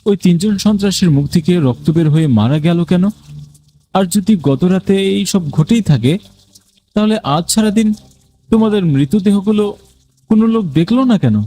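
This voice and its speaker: Male narrator for a cartoon ghost tale: dark, mellow tone, animated intonation, first-person storytelling. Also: sits high, brisk.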